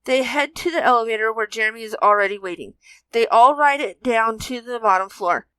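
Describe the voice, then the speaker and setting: A woman's voice: a little high, fairly quick, pushed and bright, clear, resonant tone, no room sound, low-quality recording. A woman narrating a scripted adventure story as its storyteller.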